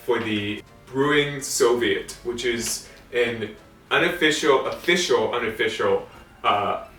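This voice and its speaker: A man giving an informal history talk, reading from old documents in a halting, amused way. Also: mid-range voice, bright, forceful tone. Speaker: host of a co-op history series. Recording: echoey room, distant mic, faint music.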